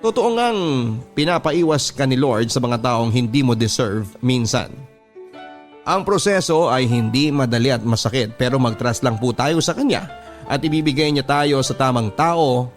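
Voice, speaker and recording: A man's voice: medium pitch, animated, moderate pace. Filipino man narrating sentimental letters over music. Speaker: radio host reading listeners' letters. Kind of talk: dramatic scripted narration of personal love-story letters. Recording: music playing under the voice. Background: background music.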